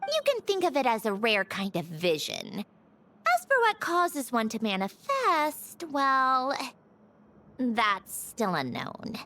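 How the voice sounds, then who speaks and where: A woman playing a weary, sardonic, damaged puppet scientist in a video game, speaking in dry, clinical, halting phrases. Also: a little high, wildly swooping pitch, bright, forceful tone, a little hiss or hum, full character voice. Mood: dry, explanatory. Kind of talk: dramatic video game voice acting.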